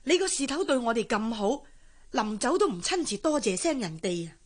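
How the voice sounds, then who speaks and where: A woman's voice: fairly high pitch, very animated, fairly quick. An actress playing a well-meaning, meddling woman in a Cantonese radio drama, fussy and exclaiming, with a Hong Kong accent. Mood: scolding. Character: chatty, meddling, well-meaning female friend of the bride.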